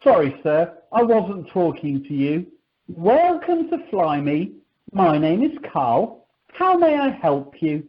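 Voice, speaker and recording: Woman playing an animated, exasperated check-in man. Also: lowish voice, slow pace. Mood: polite. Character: exasperated, polite male airline check-in clerk. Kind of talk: amateur radio comedy acting. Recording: dull telephone conference line.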